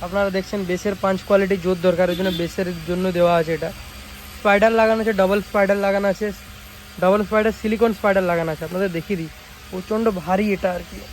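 High-pitched, fast-talking West Bengal man. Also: steady tone. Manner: repetitive, emphatic sales talk with frequent check-in tags. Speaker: speaker repair shop owner and video host. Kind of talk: casual product showcase vlog. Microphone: phone camera. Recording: noisy, engine-like hum and hiss.

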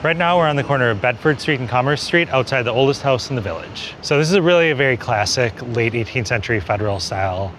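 A man's voice: medium pitch, animated, quick pace, few pauses, a bit bright, soft background music. A man in his forties, an architect, giving an on-camera walking tour outdoors, explaining buildings in a conversational way with many small hedges. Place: outdoors on a city street. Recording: city street noise behind.

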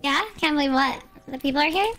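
A woman's voice: high-pitched, fast, fairly bright tone, soft background music. A woman in her twenties chatting casually and teasingly with teammates as she streams a game. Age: 20s. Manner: playful, teasing. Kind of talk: casual gameplay chat with teammates. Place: indoor home streaming room.

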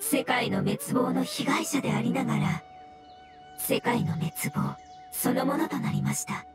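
A solemn, learned fungus spirit from a video game, with a high-pitched voice. The creature speaks politely and precisely, in long formal explanations. Music plays prominently behind it. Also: female voice, a little slow. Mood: solemn, wistful. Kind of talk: Japanese video game dub acting.

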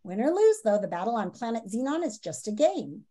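A woman in her fifties reading a children's nonfiction book aloud in a dramatic, teacherly way with emphatic pauses, on a video call from a room at home. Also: fairly high pitch, very animated, mellow. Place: small home room, indoors. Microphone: video call, computer microphone.

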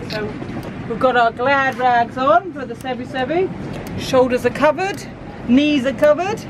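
A woman's voice: high-pitched, measured pace, noisy background. A woman in her forties with a New Zealand accent, narrating a sailing vlog with dry, wry humour. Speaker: sailing vlogger.

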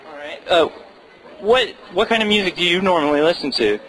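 A man's voice: high-pitched, lively intonation, brisk, a bit bright, low-quality recording. A man hosting an interview, asking prepared questions in a slightly formal way.

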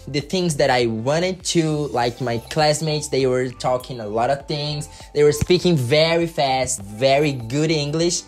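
A man's voice: on the high side, a little slow, few pauses, faint music behind. A young man from Brazil, speaking English with a Brazilian Portuguese accent as a second language, telling his story to camera in an earnest way, full of "like" fillers. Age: young adult. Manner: earnest, frequent "like" fillers, gestures with hands. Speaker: YouTuber. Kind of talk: personal story vlog to camera. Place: bedroom with colored lights.

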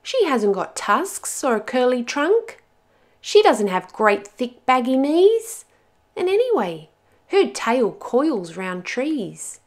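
A woman voicing a lost little monkey child in a storybook, pleading and exasperated, with emphatic, drawn-out words. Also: high voice, very animated, voice pushed up, heavily acted. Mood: indignant.

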